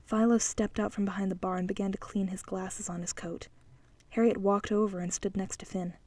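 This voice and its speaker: A woman's voice: mid-range voice, flat delivery, brisk, mellow, no room sound. A woman reading an audiobook, narrating scripted fiction in a dramatic style.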